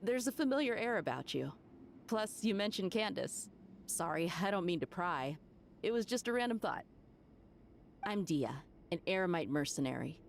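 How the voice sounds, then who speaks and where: A woman's voice: on the low side, very animated, moderate pace, creaky, a little hiss or hum. A woman playing a friendly, frank desert mercenary in a video game, casual and straightforward but polite. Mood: friendly, curious.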